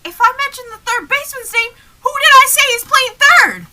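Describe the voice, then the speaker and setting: A woman's voice: very high-pitched, lively intonation, normal speed, sharp tone, exaggerated voice. A young woman in a student radio play, playing a confused, exasperated man in a comedy double act with insistent questions. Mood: exasperated, loud. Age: young adult. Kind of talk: comic double-act stage patter.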